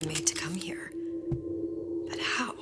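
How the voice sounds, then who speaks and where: A woman's voice: slow, in a whisper, natural voice. Actress as wry young woman sleuth, music behind. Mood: puzzled, realizing. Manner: musing, dryly sarcastic. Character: clever, troubled young woman investigator, wry and resourceful. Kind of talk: video game acting, thinking aloud.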